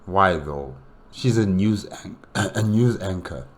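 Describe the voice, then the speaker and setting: A man giving casual, off-the-cuff reaction commentary in a musing, halting way with repeats. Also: sits low, lively intonation. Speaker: reaction video host.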